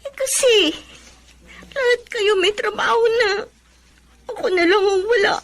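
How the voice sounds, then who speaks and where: A woman's voice: extremely high pitch, measured pace, a bit bright, heavily acted. A woman acting in a radio drama as a sulky, proud young graduate daughter with a whiny, complaining manner. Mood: tearful, frustrated.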